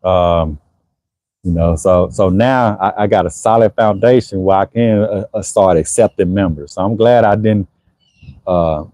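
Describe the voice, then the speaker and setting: A man in his forties talks in a relaxed, conversational way, answering viewers' comments during a livestream. His voice is mellow and unhurried, recorded close and dry. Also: low-pitched, no room sound. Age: 40s. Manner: laid-back, conversational, frequent "you know what I'm saying". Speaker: HVAC business owner hosting a livestream. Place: Houston area.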